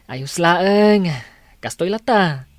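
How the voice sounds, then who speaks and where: A man's voice: high voice, animated, slow, dry recording, clean studio sound. A man acting an earnest, helpful young male student in an Ilocano radio drama, with an Ilocano Filipino accent. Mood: friendly, reassuring.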